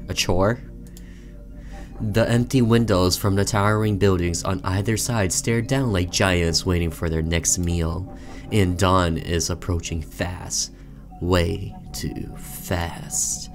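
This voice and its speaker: A man hosting a gaming video, reading story text aloud like a storyteller and adding casual reactions. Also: deep voice.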